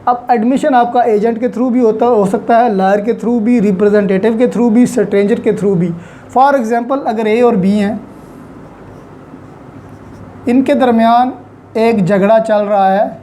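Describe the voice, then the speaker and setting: Slow-paced, mellow woman lecturer. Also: fairly high pitch, fairly even intonation, a little hiss or hum. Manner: didactic, repeats phrases for emphasis. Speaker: law lecturer. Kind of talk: explanatory lecture, Urdu-Hindi mixed with English legal terms.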